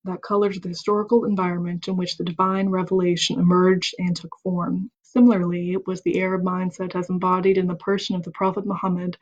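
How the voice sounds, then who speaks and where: A woman with a voice of ordinary pitch reads a book aloud on a home live stream. She goes through the text steadily, trips over a word now and then and starts it again, and breaks off for casual remarks to her listeners. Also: a little mellow, dull audio. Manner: reads steadily, stumbles on words and restarts, chatty asides. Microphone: computer mic on a home live stream.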